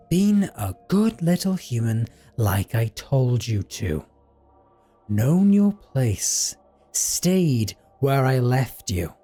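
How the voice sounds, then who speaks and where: Man acting as a possessive male vampire, very husky and breathy, slow menacing drawl, condescending, audio drama roleplay, soft music behind. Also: medium pitch, exaggerated voice. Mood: angry, scolding. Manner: condescending, drawn-out, theatrical delivery.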